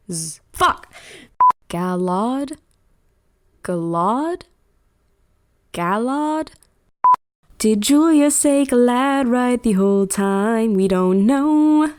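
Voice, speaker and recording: A woman's voice: a little high, slow pace, pauses often, a little mellow. A woman, an amateur voice actor, reading script lines and breaking into casual asides.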